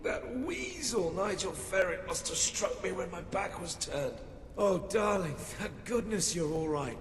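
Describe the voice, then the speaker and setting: An actor playing a vain, doting husband with an effusive, melodramatic manner in a video game cutscene. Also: male voice, high-pitched, big pitch swings, measured pace. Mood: relieved, grateful. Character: vain, doting husband knocked out, fussing over his darling wife. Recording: echoey hall with faint noise.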